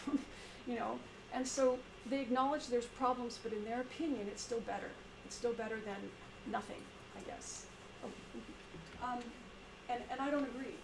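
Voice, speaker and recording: Quiet-voiced woman in an echoey room. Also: a little high. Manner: halting, many fillers and restarts. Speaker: meeting presenter. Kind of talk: casual group discussion. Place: meeting room with a small group. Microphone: shared room mic for a video stream. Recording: echoey room, background noise.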